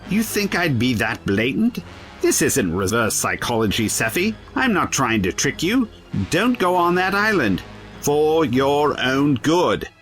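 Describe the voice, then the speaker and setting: An actor playing an eccentric, bookish time-traveller in an audio drama, witty and defiant, with a wry, articulate way of talking. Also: male voice, fairly high pitch, sing-song, a little slow, fairly bright tone, soft background music. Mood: earnest warning.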